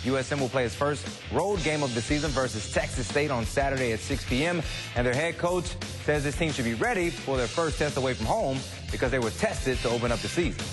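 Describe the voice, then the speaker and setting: A man's voice: mid-range voice, a little fast, over music. An American man delivering a local TV sportscast in a punchy, conversational anchor style. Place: TV studio.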